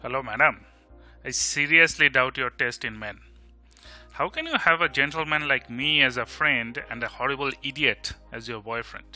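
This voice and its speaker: A man's voice: medium pitch, fairly quick, bright, forceful tone. A man acting a grumbling, teasing young man in an amateur audio drama, with an Indian Bengali accent and a sarcastic, exasperated manner. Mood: scornful, teasing. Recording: music behind the voice.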